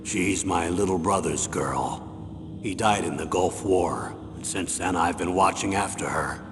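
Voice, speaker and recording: An actor playing a weary older retired colonel in a video game, earnest and measured like a military briefing. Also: male voice, low-pitched. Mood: pleading. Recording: very reverberant hall, loud background noise.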